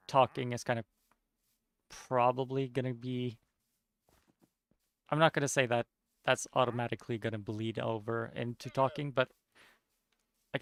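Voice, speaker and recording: Mumbling gravelly man, Norwegian accent. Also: medium pitch, slight background noise. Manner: somewhat monotone. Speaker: streamer. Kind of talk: casual chat while gaming.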